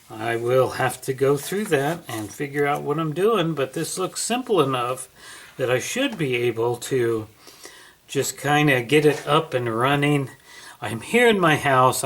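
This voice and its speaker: A man narrating a casual hands-on unboxing at home, thinking aloud in a folksy, unhurried way. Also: medium pitch, gravelly, a bit bright. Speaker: amateur product reviewer. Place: indoors at home.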